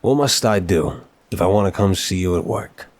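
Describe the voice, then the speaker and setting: A man performs a mafia boss with a low, deep voice for an ASMR-style audio drama. He is wry and apologetic, a charming criminal who chats in casual mobster slang. The delivery is scripted yet conversational. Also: animated, normal speed, darkish tone, no echo. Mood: amused, charmed. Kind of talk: scripted ASMR roleplay acting.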